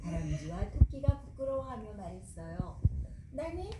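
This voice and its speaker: A woman's voice: medium pitch, normal speed. An older woman narrating a children's story as a grandmother storyteller, expressively. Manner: expressive, acting out dialogue. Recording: echoey room with clear background noise.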